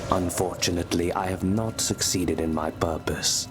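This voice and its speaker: An actor playing a grave, weary observer of a holy order in a video game, formal, measured and wry. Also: male voice, sits low, steady tone, close to an everyday voice. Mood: wry, courteous. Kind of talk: video game cutscene acting. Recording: loud ambient noise behind.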